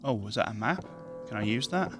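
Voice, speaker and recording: A man with a very deep, bassy voice chats through a let's-play session, chatty and self-deprecating. He talks into a gaming headset's boom mic from a home setup. Game music plays clearly underneath him. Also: animated, a little fast. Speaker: gaming channel host. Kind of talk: casual let's play commentary. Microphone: gaming headset with adjustable boom mic. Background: game music and sounds.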